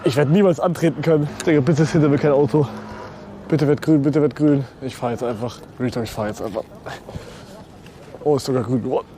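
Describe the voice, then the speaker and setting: A young man streaming live while riding a bike on city streets, talking in a slangy, excitable way and swearing a lot. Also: high voice. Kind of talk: live outdoor stream chat. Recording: outdoor street noise with traffic.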